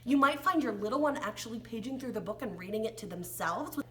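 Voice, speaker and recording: A woman's voice: a little high, animated, fast talker, a bit bright. An American woman leading an interactive read-along and sing-along for young children, with playful, exaggerated delivery, gasps and questions.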